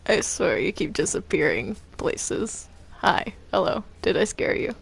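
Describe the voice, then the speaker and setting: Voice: medium pitch, very animated, a little slow, gravelly, slight background noise. A gamer chatting casually and playfully during gameplay, often giggly and teasing.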